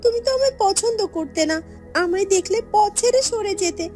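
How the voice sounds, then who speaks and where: A proud young village heroine from a fairy tale cartoon, acted by a woman. She speaks very high and earnestly, like someone who has been wronged. Music is clearly audible behind her. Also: lively intonation, a little fast, darkish tone. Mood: reproachful.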